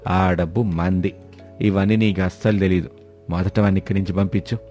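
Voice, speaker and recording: A man's voice: low voice, steady tone, a little fast, darkish tone, natural voice. A man voicing a cunning village husband in a story, brazen, colloquial and dismissive. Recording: music behind the voice.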